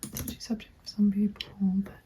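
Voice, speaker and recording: Softly whispering young woman, mellow. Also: fast. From England. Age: 20s. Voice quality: breathy whisper. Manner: gentle, chatty, reassuring. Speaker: ASMR creator playing a recruitment agent. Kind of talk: whispered ASMR roleplay. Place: small dim room at home. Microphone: close microphone. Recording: constant background noise with keyboard typing. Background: keyboard typing and clicks.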